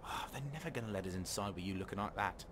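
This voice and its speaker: A man's voice: deep voice, fast, long reverb tail, noisy background, natural voice. An actor playing a sly, scheming male hunter who poses as a slaver, talking wryly in a game. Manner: wry, scheming, conversational. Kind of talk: game character dialogue.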